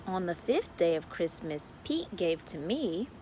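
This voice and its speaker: Woman's lively sing-song children's read-aloud. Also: fairly low pitch, a little slow, slight background noise. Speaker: read-aloud story time host.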